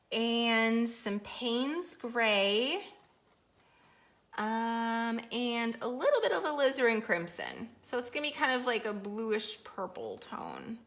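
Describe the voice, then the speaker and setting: A woman teaching a live paint-along lesson from her studio easel, chatty and encouraging, a little hoarse as she is losing her voice. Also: fairly high pitch, a bit bright. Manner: chatty, encouraging, reads viewer comments aloud. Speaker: painting instructor. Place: home art studio at an easel.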